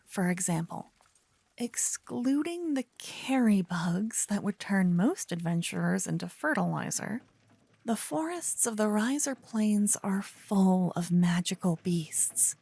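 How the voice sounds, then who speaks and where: A woman playing a silly, adorable young paladin in an ASMR audio roleplay, teasing and theatrical, hushed in places. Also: medium pitch, creaky, slightly rough, no room sound, full character voice. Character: adorable silly young female paladin, bossy, smitten, playful know-it-all.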